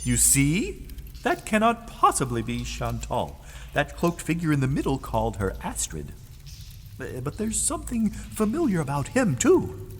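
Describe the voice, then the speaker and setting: Anxious dutiful minion, formal wordy diction, very animated pitch, reverberant, audio drama. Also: female voice, a little slow, a little hiss or hum, full character voice. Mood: suspicious. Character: formal, earnest, agitated minion serving a Dark Lord.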